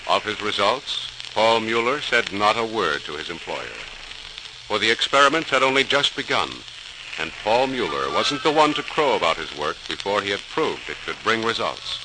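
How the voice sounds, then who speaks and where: A man's voice: on the low side, big pitch swings, normal speed. A man narrating a scripted story in a dramatic 1940s radio style. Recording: noisy crackling old radio recording.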